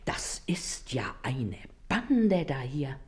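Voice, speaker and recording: Low-voiced, animated, earthy gossiping woman. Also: drawn-out words, heavily acted. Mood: indignant. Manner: folksy, emphatic, confiding, with repeated exclamations. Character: shrewd, earthy, gossipy middle-aged working-class woman. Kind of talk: German radio play acting.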